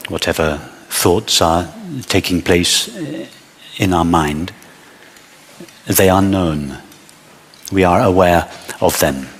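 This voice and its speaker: A man leading a guided meditation as a teacher, speaking in measured short phrases with long pauses. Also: sits low, breathy edge, big-room sound.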